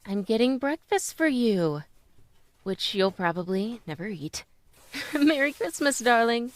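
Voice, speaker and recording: A woman's voice: a little high, big pitch swings, unhurried, no room sound. A woman playing a sincere, tender mother in an old-time radio drama, speaking earnestly and emotionally. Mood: loving, surprised. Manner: earnest, emotional, hesitant restarts.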